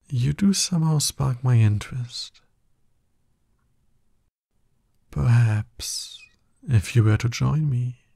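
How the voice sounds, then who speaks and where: A man playing a smug, seductive, dominant villain in an ASMR roleplay, husky and breathy, with a slow, condescending, teasing manner. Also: mid-range voice, dark tone, no room sound, studio quality, exaggerated voice. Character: smug, seductive, dominant male villain courting his hero nemesis.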